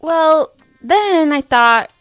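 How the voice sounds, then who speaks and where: Young female alien student, high and bright, eager, nervous over-explainer for comedy audio drama. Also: expressive, very slow, soft background music, exaggerated voice. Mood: nervous, explaining. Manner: hesitant, rambling, apologetic.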